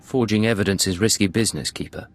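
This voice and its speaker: A cool secret agent with a deep voice. He is terse, smooth and understated, with a dry delivery. Music plays softly under the video game dialogue. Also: quick pace. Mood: guarded.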